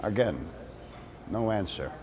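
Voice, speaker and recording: A middle-aged man acting a theatrical monologue as a wry former activist with a grievance, speaking deliberately in short phrases with pauses. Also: lowish voice, animated, crowd noise. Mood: earnest, pleading. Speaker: actor reading a monologue. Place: indoor room with shelves of glassware.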